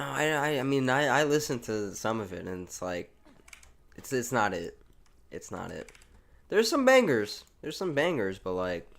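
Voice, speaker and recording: Laid-back young man streaming, lowish voice. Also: creaky, fairly bright tone. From United States. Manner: loose, rambling, slangy talk with many restarts. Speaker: livestreamer. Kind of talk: casual live gameplay chat.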